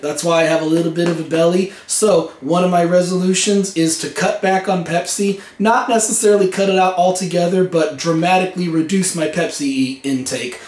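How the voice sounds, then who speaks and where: A young man talks to camera for his home vlog, his voice hoarse and worn from a cold. He sits fairly high for a man, and the room around him rings with echo. He speaks earnestly and plainly. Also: a little mellow. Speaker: vlog host. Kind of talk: casual vlog talk to camera. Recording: echoey room.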